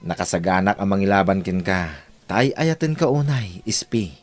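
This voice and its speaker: A man's voice: sits low, animated, moderate pace. A man acting a devoted, insistent young son in love in an Ilocano radio drama. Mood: ardent, reassuring.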